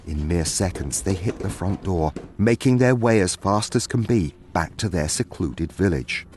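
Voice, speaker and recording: A man's voice: low-pitched, lively intonation, moderate pace, a little mellow, husky. A man narrating a scripted fantasy story as its storyteller.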